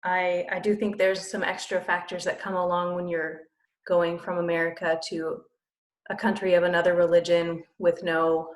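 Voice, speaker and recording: A woman's voice: on the low side, little pitch movement, normal speed, echoey room. A woman missionary in casual conversation, talking thoughtfully and repeating words for emphasis.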